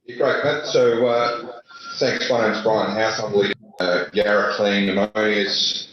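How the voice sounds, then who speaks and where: A low-pitched man gives his panel remarks over a video call. He talks haltingly and keeps restarting with fillers. The sound is dull and lo-fi, with heavy room echo. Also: fairly even intonation, fairly bright tone, slight background noise. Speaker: panelist, industry executive. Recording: echoey, dull lo-fi audio.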